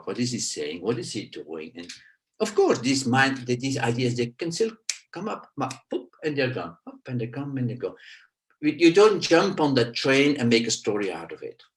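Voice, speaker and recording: Older belgian guy explaining ideas in english, voice bouncing all over, lively. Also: slight background noise. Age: 60s. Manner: animated, pitch leaps on emphasis, searching for words. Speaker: guest, nonduality teacher. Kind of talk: reflective spoken teaching.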